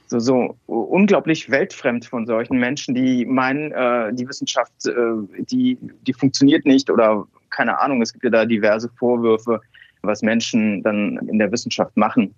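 A man's voice: mid-range voice, dry recording, lo-fi sound. A man from Cologne, a scientist, telling stories in an interview with many fillers and restarts. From Cologne, Germany. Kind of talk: relaxed conversational interview.

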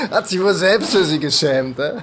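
An actor playing a sleazy, flirty macho from a martial arts club, taunting and leering, in a naturalistic radio drama. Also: male voice, high-pitched, huge pitch swings, slow, background noise, heavily acted. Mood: mocking.

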